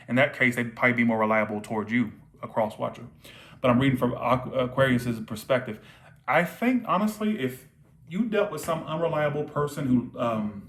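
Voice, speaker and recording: A man reading tarot cards live for viewers, repeating his points and checking in often, his voice starting to tire. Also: mid-range voice. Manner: repetitive, checks in with frequent "all right?". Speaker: tarot reader.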